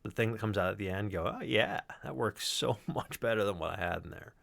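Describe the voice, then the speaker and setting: A man's voice: fairly low pitch, a little fast, gravelly, a bit bright, dry recording. A man giving a casual, rambling walkthrough as a sound designer, with some swearing. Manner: rambling, explanatory, profane. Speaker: sound designer presenting his work.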